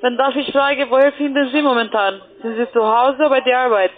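A woman's voice: high-pitched, steady tone, quick pace. A woman, a non-native speaker, making a call-centre sales call over a thin, tinny phone line. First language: not German.

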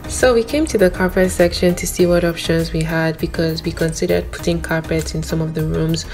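A woman's voice: fairly low pitch, brisk. A young woman, chatting casually to camera as a vlogger with lots of fillers. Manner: relaxed, chatty, frequent fillers. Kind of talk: casual vlog chat to camera. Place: small indoor room at home. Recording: roomy echo with music underneath.

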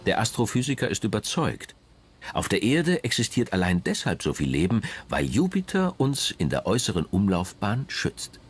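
A man narrating a scripted documentary in a measured, dramatic storytelling manner. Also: fairly low pitch, huge pitch swings, soft background music.

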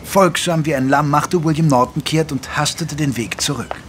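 An actor playing an observant gentleman companion who narrates a detective audio drama in a literary, measured style. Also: a little high, background noise, natural voice. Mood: narrating.

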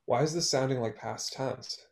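A man's voice: medium pitch, normal speed, close to an everyday voice. A man in his twenties playing a hurt, guarded, wry young man facing an old love, in a play read over a video call. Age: 20s. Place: room at home. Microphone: computer mic on a video call.

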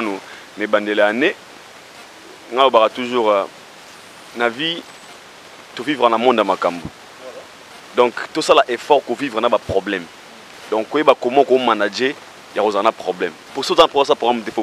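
A man's voice: medium pitch, normal speed, long pauses, fairly bright tone, slight background noise, poor audio. A man, a talk show guest speaking in a rambling, hesitant way with many fillers, outdoors into a handheld mic. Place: outdoor garden by a wall. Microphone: handheld mic held by host.

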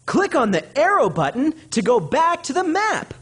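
A man voicing an upbeat young cartoon rabbit who guides children through an educational game, bouncy, encouraging and clearly enunciated. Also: very high voice, huge pitch swings, normal speed, fairly bright tone, full character voice. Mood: friendly, instructive. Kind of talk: animated educational game voice acting.

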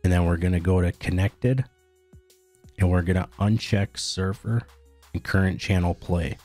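Male tutorial narrator, very low and mellow, plain instructional delivery. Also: moderate pace, soft background music. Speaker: tutorial host. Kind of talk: step-by-step software tutorial narration.

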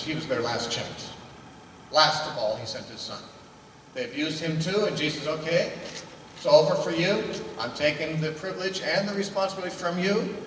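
An older man preaches a sermon, posing questions to the room and answering them. His voice sits high for a man. The recording is roomy, with a long hall reverb, and he sounds a bit away from the mic. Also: fairly bright tone, recorded from a distance, slight background noise. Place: indoor meeting room. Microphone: handheld mic.

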